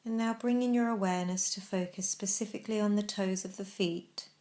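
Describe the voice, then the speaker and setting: Woman doing a guided meditation, mid pitched voice, gentle measured instructions w pauses. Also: fairly even intonation. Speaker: mindfulness practice guide.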